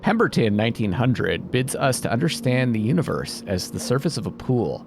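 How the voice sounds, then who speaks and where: A man doing casual let's play commentary, thinking out loud and reading game text aloud. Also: mid-range voice, very animated, normal speed, vocal fry, noisy background. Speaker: video game player and host. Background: atmospheric game music.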